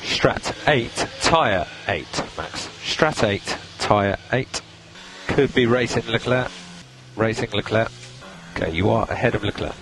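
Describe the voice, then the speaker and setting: A calm male race engineer giving clipped, procedural instructions over team radio, repeating key points. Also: fairly low pitch, slow, music in the background. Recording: noisy radio link, engine noise.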